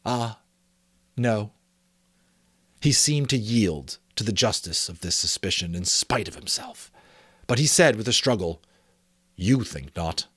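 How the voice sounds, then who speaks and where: A man reading an audiobook as a dramatic, expressive storyteller, with casual asides. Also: fairly low pitch, normal speed, breathy edge, no room sound, clean studio sound. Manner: expressive, theatrical. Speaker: amateur audiobook narrator.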